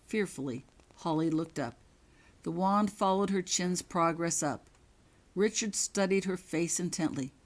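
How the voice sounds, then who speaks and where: A woman reading her own fiction as a scripted, dramatic story narration, in measured, suspenseful short phrases. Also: lowish voice.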